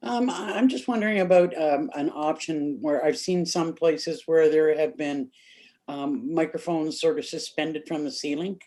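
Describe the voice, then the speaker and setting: A woman on council joins a meeting by video call. Her voice is mellow and low-energy in tone. She starts hesitantly, then speaks candidly and directly. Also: normal speed. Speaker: council member. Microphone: video conference mic.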